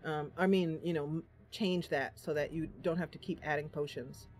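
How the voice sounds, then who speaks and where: A woman's voice: sits low, steady tone, normal speed, a little mellow. Woman chatting through a video game, music behind. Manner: thinks aloud, chatty and reactive. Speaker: gaming channel host. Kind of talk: casual let's play commentary.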